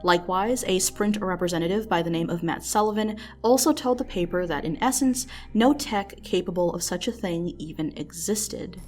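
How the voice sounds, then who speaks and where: A woman narrating a scripted true-mystery video as its host, in a measured storytelling manner with dramatic emphasis, close on the mic. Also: fairly low pitch, darkish tone, soft background music.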